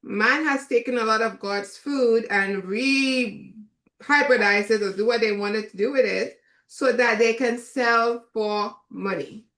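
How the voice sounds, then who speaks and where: A Trinidadian woman chef giving a relaxed, chatty cooking demonstration, with many fillers. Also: a little high, measured pace, echoey room.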